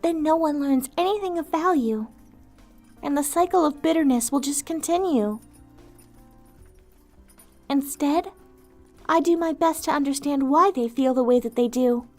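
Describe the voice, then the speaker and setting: Girl doing a sad kind vampire lady for asmr roleplay, high soft voice, wistful, music behind her. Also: natural voice. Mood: earnest, compassionate. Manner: soft-spoken, wistful, earnest, formal wording. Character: gentle melancholy female vampire outcast, compassionate and weary.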